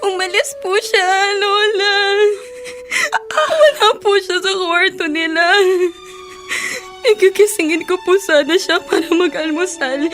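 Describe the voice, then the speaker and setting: Very high-pitched, crying little granddaughter. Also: female voice, unhurried, a bit bright, soft background music. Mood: tearful, distraught. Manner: plaintive, tearful. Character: distraught young granddaughter, small and plaintive. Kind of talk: Filipino radio drama acting.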